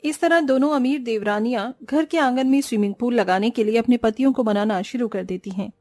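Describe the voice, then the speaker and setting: A woman's voice: a little high, a little fast, darkish tone, no room sound, faint music behind. A woman narrating a scripted family cartoon story.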